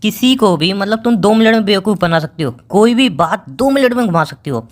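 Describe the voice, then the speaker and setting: A man's voice: high voice, expressive, fairly quick. A man playing a distrustful young boyfriend, accusing and sarcastic, in a casual romantic call drama. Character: bitter, distrustful young boyfriend confronting his girlfriend. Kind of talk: acted romantic phone-call drama in casual colloquial speech.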